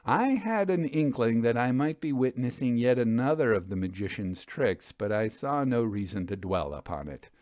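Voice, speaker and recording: A man's voice: medium pitch, expressive, normal speed, hardly any pauses, a little mellow, dry recording. Dry-recorded male story narrator. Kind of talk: scripted first-person literary narration.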